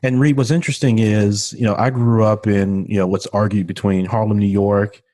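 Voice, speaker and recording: Low-voiced man, warm and earnest. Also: fairly even intonation, moderate pace. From Memphis, Tennessee. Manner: earnest, many "you know" fillers. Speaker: guest. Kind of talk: casual interview talk. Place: home room. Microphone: studio condenser mic with pop filter.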